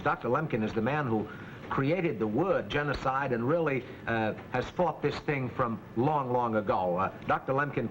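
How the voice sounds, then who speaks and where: A man hosting a semi-formal broadcast panel interview in the 1940s, phrasing his questions in a halting way. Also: medium pitch, rough recording. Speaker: host and moderator of a panel discussion. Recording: old 1940s broadcast, faint background noise.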